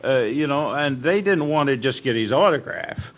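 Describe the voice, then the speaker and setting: An older American man telling anecdotes as a guest on an informal panel, halting and rambling as he reminisces. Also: mid-range voice, expressive. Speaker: panel guest at old-time radio convention. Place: indoor convention panel room. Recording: clearly noisy convention room recording.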